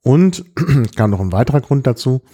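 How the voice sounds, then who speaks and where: A middle-aged man chatting casually about his travels, hesitant and often clearing his throat. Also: mid-range voice, expressive, normal speed, mellow. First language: German. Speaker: podcast guest telling about his trip. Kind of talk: casual chat, travel anecdotes.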